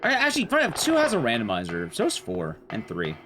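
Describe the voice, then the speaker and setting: A man's voice: mid-range voice, huge pitch swings, fast talker, music in the background. A man giving casual, rambling live game commentary with chatty, jokey asides while streaming a speedrun.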